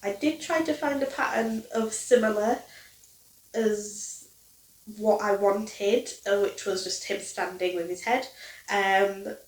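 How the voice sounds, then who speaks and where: A woman's voice: medium pitch, fairly even intonation, takes it slowly, far from the mic. A young woman in her twenties with a nasal, blocked-nose voice, chatting casually to camera with lots of ums. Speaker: crochet vlogger. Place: living room, indoors. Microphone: camera mic from a few feet away. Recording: roomy, from off mic.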